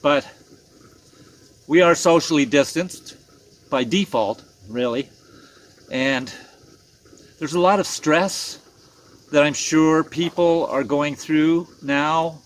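A man's voice: fairly high pitch, slow, long pauses. An older man hosting a casual outdoor vlog, easygoing and chatty, saying "eh" now and then. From Canada. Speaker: channel host. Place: outdoors at a night campfire in the woods.

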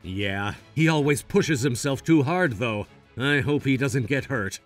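A man voicing an earnest, loyal young gangster in an anime-style cartoon, presenting to viewers with animated delivery. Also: medium pitch, unhurried, no echo, soft background music, natural voice.

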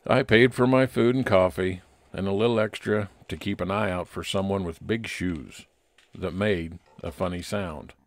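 A man playing a friendly, observant private investigator, narrating an old-time radio detective story in a measured way. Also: low-pitched. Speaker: narrator and lead actor.

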